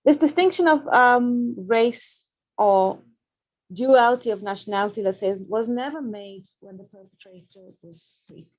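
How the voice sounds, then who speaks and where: A woman from Pakistan, a journalist, giving an earnest, measured talk over a video call. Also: on the high side, low-quality recording. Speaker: journalist, panel speaker. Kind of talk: prepared webinar talk.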